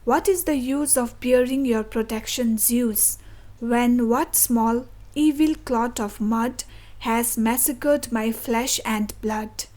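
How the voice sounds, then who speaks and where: A woman's voice: fairly high pitch, slow, dark tone. A woman voicing a proud, haughty female eagle from a fable in verse, given to grand, insulting speech. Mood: anguished pleading. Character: proud sneering female eagle, bullying, later anguished.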